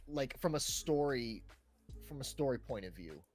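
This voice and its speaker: Man, podcast co-host, casual chat, enthusiastic, mid-pitched, filler-heavy phrasing, faint background music. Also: very animated, moderate pace. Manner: enthusiastic, peppers talk with "right" and "like". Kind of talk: casual chat about personal favourite games. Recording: background music under the talk.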